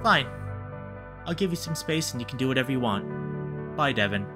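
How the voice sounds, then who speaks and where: A man's voice: mid-range voice, lively intonation, moderate pace, music in the background, natural voice. A man voicing a jealous, hurt spirit boyfriend in a visual novel, bitter and accusing. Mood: cold, resigned. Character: jealous, hurt, cutting male spirit boyfriend living in another's head. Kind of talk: visual novel read-aloud.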